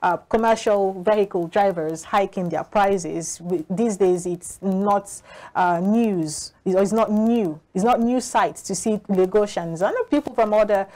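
A woman's voice: mid-range voice, measured pace, darkish tone. A woman co-hosting a TV studio discussion, asking probing, conversational questions. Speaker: TV show co-host. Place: TV studio set.

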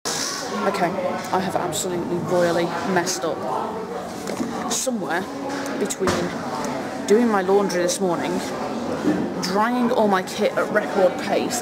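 A young woman vlogging to camera, chatty and self-mocking, rambling as she talks through her day from her car and then a changing room. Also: medium pitch. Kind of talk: casual vlog to camera. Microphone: wired earphone mic. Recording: loud background noise.

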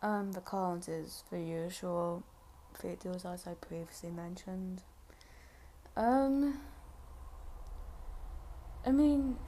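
A woman's voice: medium pitch, mellow. A woman giving casual, hesitant commentary as a reviewer in a reaction video. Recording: faint road traffic noise. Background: cars on a busy road.

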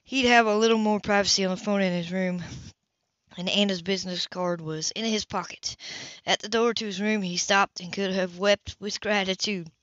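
A woman's voice: mid-range voice, steady tone, moderate pace, a bit bright, no room sound. A woman reading a novel aloud as an amateur, in a homespun way with the odd stumble over words. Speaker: amateur audiobook reader. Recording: dull lo-fi home recording.

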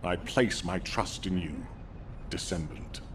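An actor playing a grave, remorseful but resolute military commander in a video game, speaking solemnly and formally, with deliberate delivery. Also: male voice, low-pitched. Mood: resolute, commanding. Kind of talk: scripted video game drama acting.

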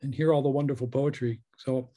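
Halting man poet on a video call. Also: mid-range voice, steady tone, warm tone. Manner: halting, repeats words, modest. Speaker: featured poet. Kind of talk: casual conversation on video call.

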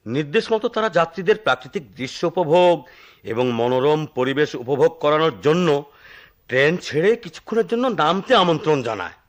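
An eccentric old railwayman, talkative and theatrical. His fairly high voice swings dramatically up and down as he spins his tales and cautions like a born storyteller. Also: big pitch swings, brisk. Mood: animated storytelling. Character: eccentric talkative retired railway switchman, older man. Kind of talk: radio drama acting.

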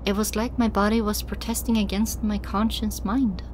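A woman reading scripted first-person fiction as an audiobook narrator, in an expressive, dramatic way. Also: mid-range voice, normal speed, background noise.

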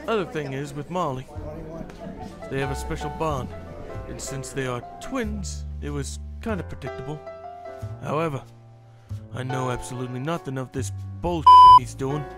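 A man's voice: medium pitch, moderate pace, loud music behind the voice, natural voice. A man playing a curt, dismissive gangster brother in a cartoon dub, talking bluntly. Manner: blunt, unhelpful. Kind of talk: cartoon comic dub acting.

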